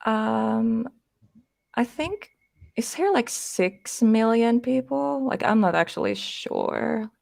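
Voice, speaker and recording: A woman's voice: a little high, expressive, slow, gravelly, a little mellow, dry recording, studio quality. A young woman from Finland with a Finnish accent, a guest chatting casually and hesitantly, with many fillers. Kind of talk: casual interview chat.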